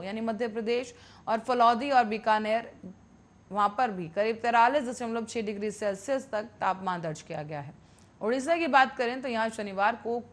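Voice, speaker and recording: A woman reading scripted news as a television anchor. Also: fairly high pitch.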